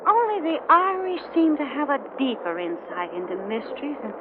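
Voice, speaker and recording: A muffled, noisy old radio recording carries an earnest young heiress. Her voice is high and very animated, refined and dramatic in the manner of period radio acting. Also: fairly quick. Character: earnest, anxious young heiress in a haunted mansion.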